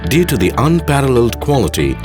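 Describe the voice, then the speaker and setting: A man's voice: medium pitch, sing-song, quick pace. A man reading scripted narration for a corporate documentary. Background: music behind the voice.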